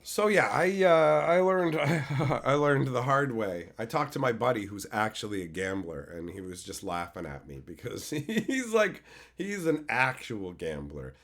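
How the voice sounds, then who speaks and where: A man, hoarse from a cold, casually chatting with his live-stream viewers and swearing freely. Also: mid-range voice, very animated, unhurried, fairly bright tone. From Canada. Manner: loose, swears freely, answers chat by name. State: hoarse from being sick. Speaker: live streamer reading chat.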